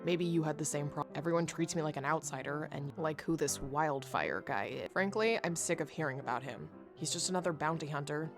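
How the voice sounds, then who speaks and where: A woman's voice: low-pitched, fairly quick, hardly any pauses, music in the background. A woman playing a wary, curious outsider bounty hunter in a Western game, frank and questioning. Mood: frustrated, musing. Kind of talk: visual novel character acting.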